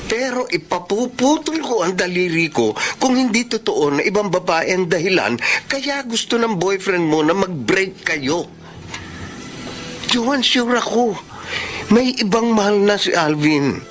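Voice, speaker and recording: Actress as animated, insistent protective friend. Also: female voice, mid-range voice, measured pace, soft background music, lightly acted. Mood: blunt, insistent. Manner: insistent, emphatic. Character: protective, blunt young friend urging a breakup. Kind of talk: Filipino radio drama acting.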